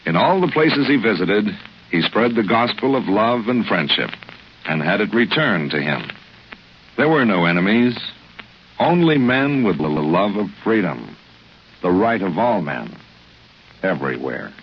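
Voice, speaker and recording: A man's voice: fairly low pitch, very animated, unhurried. A man giving earnest, scripted radio narration in a solemn and formal manner. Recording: muffled old radio recording, faint noise.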